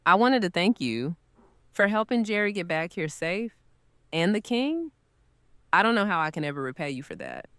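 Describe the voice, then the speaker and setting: A woman's voice: on the low side, very animated, moderate pace, a bit bright, clean tone, dry recording. A woman playing a kind, earnest newcomer's host in an audio drama, speaking casually and sympathetically. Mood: grateful, guilty. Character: kind, grateful woman welcoming a newcomer, gently guilty.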